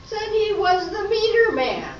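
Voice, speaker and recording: Chatty suburban witness woman acting, high theatrical voice, stage radio play, distant and noisy. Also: wildly swooping pitch, measured pace, full character voice. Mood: earnest, theatrical. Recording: echoey, distant, muffled room recording, clear noise.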